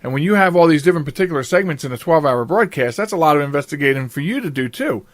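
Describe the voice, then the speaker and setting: Higher-voiced man hosting a casual podcast. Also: animated, rapid-fire, no echo. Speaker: podcast host. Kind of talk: casual interview chat.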